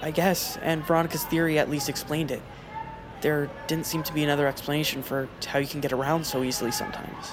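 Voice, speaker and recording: An actor playing an earnest teenage boy activist in an audio drama, speaking sincerely with halting hesitation. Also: on the high side, flat delivery, background noise, natural voice. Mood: apologetic, earnest. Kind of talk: naturalistic audio drama acting.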